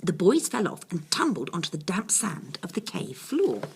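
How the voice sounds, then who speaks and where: A woman's voice: on the low side, big pitch swings, moderate pace, vocal fry, a little mellow, slight background noise. A woman reading a children's bedtime story expressively, with confiding asides to her young listeners.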